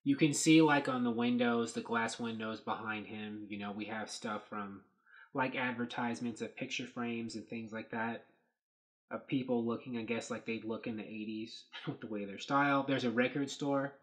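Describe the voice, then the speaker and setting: A man in his thirties talks through movie photos in an easygoing, rambling way, pausing, restarting and filling gaps with "you know" and "like." His voice sits at an ordinary middle pitch. He speaks close to a desk webcam in a small dark room. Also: fairly even intonation, rough recording. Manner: rambling, thinking aloud with many fillers. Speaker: host. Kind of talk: casual commentary on set photos.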